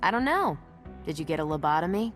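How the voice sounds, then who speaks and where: A prickly fighter in a tactical video game. Her voice is low but swings sharply, full of sarcasm and hostility. Faint music sits underneath. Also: sing-song, quick pace. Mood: sarcastic, hostile. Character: prickly, sarcastic female squad member. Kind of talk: game cutscene dialogue.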